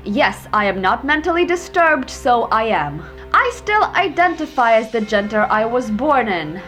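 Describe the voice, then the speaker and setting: A young woman vlogger talks to camera in a high, bouncy voice, reading quiz questions aloud and snapping back with sarcastic, profane answers. Soft music plays faintly underneath. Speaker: YouTuber. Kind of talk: reacting to online quizzes to camera.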